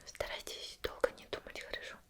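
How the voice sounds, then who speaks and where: A woman acting as a female investigator in an ASMR roleplay interrogation. She speaks entirely in a soft, breathy whisper close to the microphone. Her delivery is deliberate and calm, politely insistent as she questions her suspect. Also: lightly acted. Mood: calm, instructing.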